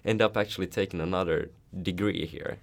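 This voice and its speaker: A man hosts a podcast interview in a very low voice. His questions wander, and he strings them together with fillers and repeated words before he settles on what he wants to ask. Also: fairly even intonation, fairly quick, vocal fry. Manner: loose, filler-heavy questions. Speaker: podcast host. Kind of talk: casual interview chat.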